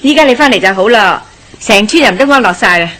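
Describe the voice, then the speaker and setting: An actress playing a warm-hearted, nosy middle-aged village wife with a Hong Kong Cantonese accent, gossipy and exclamatory, in a radio drama. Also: female voice, mid-range voice, expressive, fairly quick, natural voice. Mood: chatty, solicitous.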